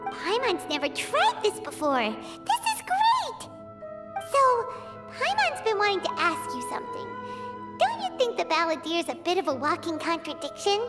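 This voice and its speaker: Squeaky, excitable little fairy girl. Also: normal speed, music in the background, heavily acted. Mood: chatty, curious. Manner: refers to self in third person, chirpy. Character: tiny excitable childlike fairy companion girl, nosy and blunt. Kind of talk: animated game voice acting.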